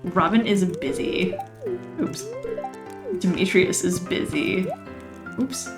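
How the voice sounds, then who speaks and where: A chatty woman, somewhat hoarse from losing her voice, rambling through casual gameplay commentary with frequent laughs. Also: fairly low pitch, animated, takes it slowly. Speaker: let's play host. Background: game music.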